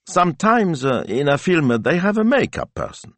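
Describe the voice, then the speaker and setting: Man voicing a gentle grown daughter, raised pitch. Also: wildly swooping pitch, unhurried, dry recording, exaggerated voice. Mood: tentative. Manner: careful, casual, tentative. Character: gentle amused grown Italian daughter and mother, hesitant.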